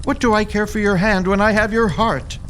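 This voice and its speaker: A man acting a devoted Victorian gentleman suitor in a period audio drama, earnest, romantic and emphatic. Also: sits high, fairly even intonation, normal speed, slight background noise. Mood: pleading devotion.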